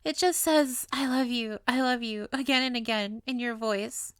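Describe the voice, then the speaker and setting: A woman's voice: a little high, normal speed, a bit bright, dry recording, clean studio sound, close to an everyday voice. A woman playing a sweet, clingy, obsessively lovestruck young witch in an intimate comedic roleplay, with a cutesy, gushing, playful manner. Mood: cheerful, showing off. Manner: cutesy, gushing, flustered stammers, playful asides. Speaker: audio roleplay voice actor.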